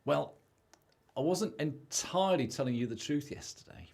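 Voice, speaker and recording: A man's voice: fairly high pitch, animated, moderate pace, gravelly, a little mellow. Guy acting selfish british husband in a radio play, dismissive, voice jumps about a lot. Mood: offhand. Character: selfish, excitable married debtor who believes he's rich.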